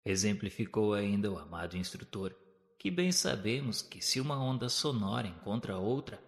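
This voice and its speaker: Deep-voiced Brazilian man narrating in heavy reverb. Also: expressive, moderate pace. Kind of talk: scripted narration of a spiritist audio novel. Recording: long hall-like reverb.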